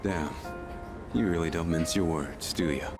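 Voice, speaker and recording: An actor voicing a gruff, brooding young man in a video game, with a blunt, slangy and grudging manner. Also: low voice, unhurried. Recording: loud music under the voice. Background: loud game music.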